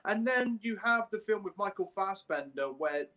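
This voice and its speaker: Young man chatting, slightly high voice. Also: animated, normal speed, sharp tone. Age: young adult. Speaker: podcast co-host. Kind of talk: casual discussion of games and films. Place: small room at home, on a video call.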